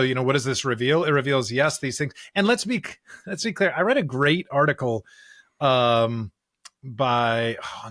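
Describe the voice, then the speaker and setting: A man's voice: mid-range voice, a bit bright, no echo, studio quality. A man co-hosting a podcast, talking through scripture in an earnest, emphatic, conversational way. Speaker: podcast co-host.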